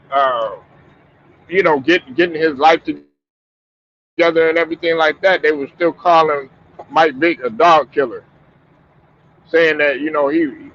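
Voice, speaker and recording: Stuffy-voiced man rambling on a lo-fi stream. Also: a little high. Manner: rambling, repeats words, frequent restarts. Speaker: sports talk show host. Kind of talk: casual sports talk. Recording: muffled lo-fi stream audio with faint noise.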